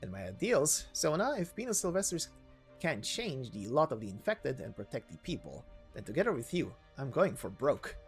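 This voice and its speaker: A cocky young knight woman, voiced by a narrator, full of swagger. Her slangy, emphatic lines swing widely in pitch, with music underneath. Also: big pitch swings, normal speed, full character voice. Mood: eager, determined. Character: brash, eager, swaggering young female knight. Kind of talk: game story voice acting.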